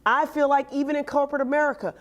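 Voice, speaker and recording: Raspy, loud-voiced woman telling a story. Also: racing. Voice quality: raspy, with some bass and hardness. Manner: loud voice that carries, animated storytelling. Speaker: podcast host. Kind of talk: casual chat, telling a story.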